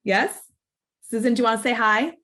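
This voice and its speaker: A woman's voice: a little high, moderate pace, a bit bright. A woman professor presenting at an online awards ceremony, speaking informally in an earnest, explanatory way. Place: online video call.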